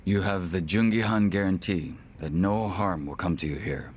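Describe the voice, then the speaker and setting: An actor playing a polite, coolly menacing gangland henchman in an English video game dub, speaking in a formal, smug, measured manner. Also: low voice, a little hiss or hum, natural voice. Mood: smooth, smug.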